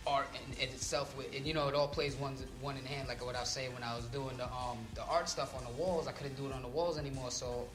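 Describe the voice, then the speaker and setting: A man's voice: medium pitch, fairly even intonation, fast, few pauses, roomy sound, music in the background. A man who grew up in Trinidad, chatting casually as a guest artist in an interview and filling his talk with "you know" and "um". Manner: laid-back, with many fillers.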